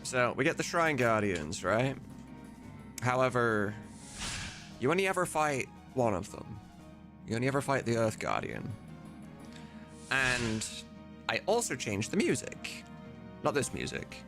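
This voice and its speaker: A man's voice: mid-range voice, big pitch swings, unhurried, pauses often, music in the background. A man chatting casually on a live stream, rambling and informal with some swearing. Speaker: live streamer and game modder.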